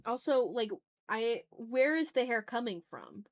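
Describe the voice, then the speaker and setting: A woman's voice: on the high side, big pitch swings, measured pace. A woman hosting an art livestream, chatting casually and muttering to herself in an informal, rambling way as she works. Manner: informal, mutters to self, mild swearing. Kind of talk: casual rambling chat while drawing.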